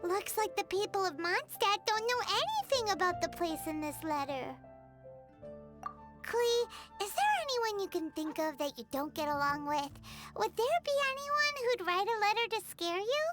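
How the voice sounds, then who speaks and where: A woman voicing a tiny, excitable, childlike fairy companion in an anime-style game, squeaky and emphatic. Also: normal speed. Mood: puzzled. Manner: squeaky, emphatic, refers to self in third person. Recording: loud background music.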